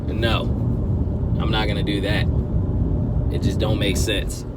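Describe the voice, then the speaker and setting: A man's voice: lowish voice, level tone, a little slow. A man casually telling a story as a car vlogger, conversational and emphatic, breaking his talk into short phrases. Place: inside a car while driving. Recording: loud road noise inside a car. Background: car and road noise.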